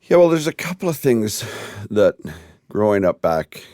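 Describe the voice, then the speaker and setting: A man's voice: lowish voice, big pitch swings, normal speed, mellow, no room sound, studio quality. A man in his sixties, a podcast guest telling easygoing stories about where he grew up. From Canada. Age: 60s. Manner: easygoing storyteller, laughs between remarks. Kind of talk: casual interview chat.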